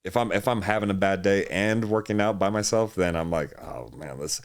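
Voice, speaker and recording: A man's voice: deep voice, a little fast. A young man from Hawaii, a fitness coach, chatting easily as a podcast guest and laughing often. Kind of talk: casual interview chat.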